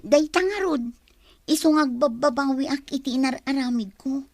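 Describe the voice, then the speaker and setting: A woman's voice: sits high, normal speed, no echo. A woman acting a long-suffering, emotional young mother and wife in a radio drama. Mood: regretful, sad.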